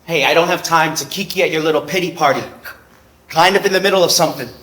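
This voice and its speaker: A man's voice: on the high side, fast, a bit bright, close to an everyday voice, rough recording. An actor playing a cocky, tired young male fencer who talks in a teasing, flippant way, on a theater stage. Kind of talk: stage play acting.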